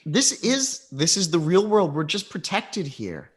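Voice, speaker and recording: A man's voice: fairly high pitch, sing-song, unhurried, voice pushed up. A man voicing a sheltered young woman from a mage family who talks earnestly and a little anxiously.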